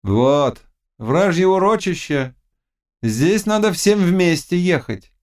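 A man voicing a mocking, scheming Cossack witch woman in an audiobook, with loud, taunting exclamations. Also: high-pitched, very animated, takes it slowly, voice pushed up, heavily acted. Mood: warning. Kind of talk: audiobook character dialogue.

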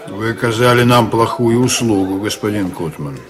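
An actor playing a proud, reserved sea captain in a radio play, measured and terse in manner. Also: male voice, lowish voice, lightly acted. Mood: cold rebuke. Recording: music and crowd behind the voice.

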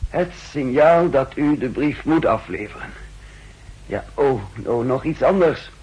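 An actor playing a secretive, calculating male intelligence official in a halting, confidential 1960s radio drama style. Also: mid-range voice, expressive, a little mellow, slight background noise, close to an everyday voice, low-quality recording. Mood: earnest, confiding.

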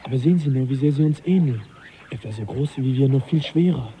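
Male actor as gentleman naturalist, very mellow timbre, hushed, reflective, radio drama, faint noise behind. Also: mid-range voice, fairly quick, natural voice, low-quality recording. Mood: awed, curious. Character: absorbed, musing gentleman naturalist on an expedition. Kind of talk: German radio drama acting.

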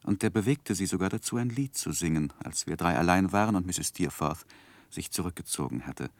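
Earnest, deep-voiced young gentleman. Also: lively intonation, brisk, dry recording. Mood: grave, reflective. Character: earnest, reserved young gentleman recounting his own past. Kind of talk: 1960s German radio play acting and narration.

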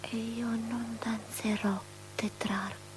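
An actress playing an aloof, defiant young princess in a radio play, in a breathy whisper. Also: female voice, a little high, flat delivery, slow, noisy background. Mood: cold refusal. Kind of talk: avant-garde theatrical radio drama acting.

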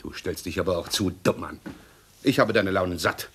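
A man's voice: low voice, moderate pace, a bit bright, slight background noise. An actor playing a pedantic, self-satisfied middle-aged policeman husband in a radio play, fussy and blustering, repeating himself. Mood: nagging, irritated. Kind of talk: 1960s German radio play acting.

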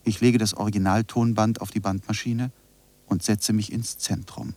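A man playing a reflective narrator in a scripted radio drama, speaking in measured phrases. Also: on the low side, level tone, a little mellow, natural voice. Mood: quiet, concentrated. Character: reflective male narrator reciting essays and studio notes.

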